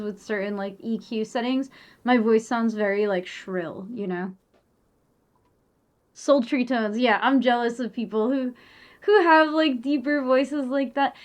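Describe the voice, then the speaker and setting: A young woman talks casually on a live stream with a somewhat high voice. She rambles, leans on "like" fillers and swears now and then.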